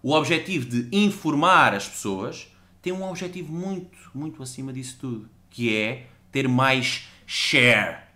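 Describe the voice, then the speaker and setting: A Portuguese man with a European Portuguese accent holds forth in a sarcastic, rambling monologue. His voice is bright and forward, and it swings widely up and down as he gets worked up. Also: medium pitch, expressive, a little slow. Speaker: podcast guest. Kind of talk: ranting monologue.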